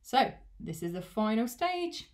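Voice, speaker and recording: A woman's voice: fairly high pitch, very animated, unhurried. A young woman teacher demonstrating a recipe for children at home. Her delivery is patient and encouraging, breaking each step down simply. She is heard in a domestic kitchen on the camera's own mic. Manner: gentle, encouraging, step-by-step explaining.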